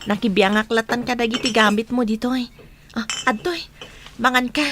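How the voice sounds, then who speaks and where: An actress playing a caring, gently coaxing village woman in a radio drama. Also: a little high, fairly even intonation, moderate pace, soft background music, lightly acted. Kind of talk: radio drama acting in Ilocano.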